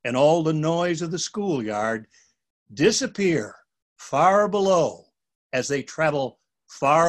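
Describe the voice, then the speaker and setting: Slow, expressive older male storyteller. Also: on the high side. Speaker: author and storybook narrator. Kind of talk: scripted children's story narration.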